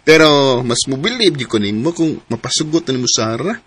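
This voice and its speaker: An actor playing a teasing, excitable young male buddy in a radio drama, with an animated, jeering manner. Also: medium pitch, a little fast, slight background noise, close to an everyday voice. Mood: amused disbelief.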